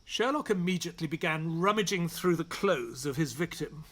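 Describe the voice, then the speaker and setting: An actor playing an earnest, polite English doctor and loyal sidekick in an audio drama, who narrates and gets easily flustered. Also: male voice, on the high side, animated, moderate pace, fairly bright tone. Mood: measured storytelling.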